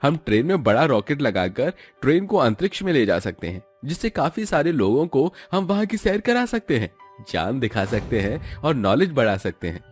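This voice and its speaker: A man's voice: mid-range voice, big pitch swings, normal speed, pitched above the performer's own voice, natural voice. A man voicing a polite, earnest young man in a cartoon story, with music behind him. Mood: excited. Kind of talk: Hindi cartoon story acting.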